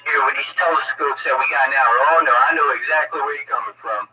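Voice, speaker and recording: A man's voice: medium pitch, brisk, heavy background noise. Muffled CB radio transmission, distant man, laid-back casual chat, wisecracking. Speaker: CB radio caller.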